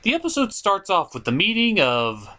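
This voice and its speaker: A man's voice: high-pitched, huge pitch swings, normal speed, pushed and bright. A man hosting a comedic cartoon review, narrating from a script in a theatrical, playful way. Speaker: host and reviewer.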